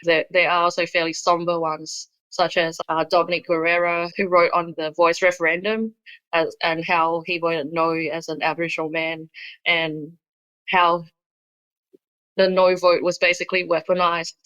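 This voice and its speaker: A woman speaks as an editor in a casual interview. Her voice sits on the low side with very little rise and fall, and the recording is dry, without room sound. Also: a bit bright, no room sound, low-quality recording. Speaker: magazine editor being interviewed.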